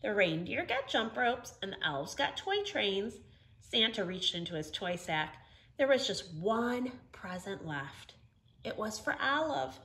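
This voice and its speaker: A middle-aged woman reading a children's story aloud in an expressive, emphatic storytelling manner. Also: medium pitch, measured pace. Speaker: storybook reader. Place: living room, indoors.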